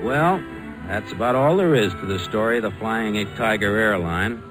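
A man with an American accent acting a determined young airline founder in a 1940s radio drama, with brisk, insistent, colloquial delivery. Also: lowish voice, expressive, music in the background, lightly acted, rough recording. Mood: reflective narration. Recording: vintage 1940s radio broadcast.

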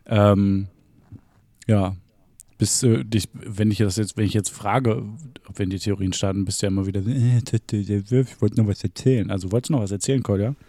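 A man's voice: lowish voice, steady tone, brisk, mellow, no echo. A young man co-hosting a podcast, chatting casually, rambling and joking with frequent laughs. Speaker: podcast co-host. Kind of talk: casual banter.

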